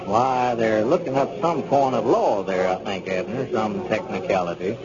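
Reasonable small-town man, played by a male actor, measured, low-pitched, vintage radio comedy with dulled sound. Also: crowd noise, close to an everyday voice. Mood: matter-of-fact. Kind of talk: 1930s radio comedy acting. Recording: muffled 1930s radio broadcast recording.

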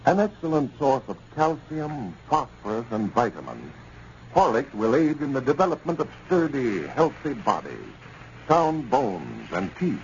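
Old time american radio announcer guy, really lively pitch swings, muffled sound. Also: measured pace. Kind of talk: 1930s scripted radio announcing and sponsor narration. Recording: muffled, hissy 1930s radio recording.

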